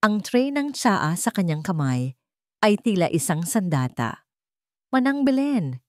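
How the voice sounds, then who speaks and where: Low-pitched woman narrating dramatic fiction. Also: big pitch swings, unhurried, no room sound, clean studio sound. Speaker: story narrator.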